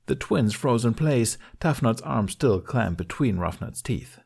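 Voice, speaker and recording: A man reading a fantasy story aloud in an expressive audiobook style. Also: mid-range voice, unhurried, creaky, slightly rough. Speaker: fan fiction narrator.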